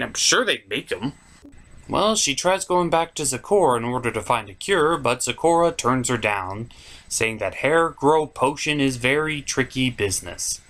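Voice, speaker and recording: A man hosting a scripted comedic cartoon review, speaking in a sarcastic, theatrical way as a snarky gentleman critic. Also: mid-range voice. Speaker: host of a cartoon review show.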